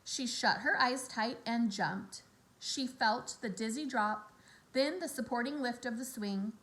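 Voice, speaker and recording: A woman reading a children's novel aloud in an expressive storybook narration style, acting out the dialogue. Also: on the high side, a little slow, rough recording. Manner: animated, acts out character dialogue.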